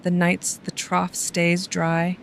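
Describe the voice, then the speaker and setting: A man giving slow, solemn scripted narration for a documentary. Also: sits high, completely flat delivery, gravelly, fairly bright tone, slight background noise.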